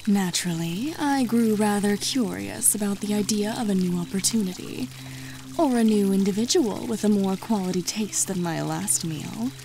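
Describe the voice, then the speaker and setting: A woman's voice: medium pitch, moderate pace, mellow, soft background music, lightly acted. A woman voicing a sly, elegant female serpent-folk fortune-teller in an audiobook, speaking formally and with amusement. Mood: sly, amused. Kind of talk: audiobook character voice.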